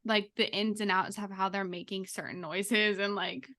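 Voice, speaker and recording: A woman's voice: medium pitch, fairly even intonation, moderate pace, bright, forceful tone. A woman chatting casually as a podcast co-host, informal and peppering her sentences with "like". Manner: informal, heavy use of "like". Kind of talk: casual chat.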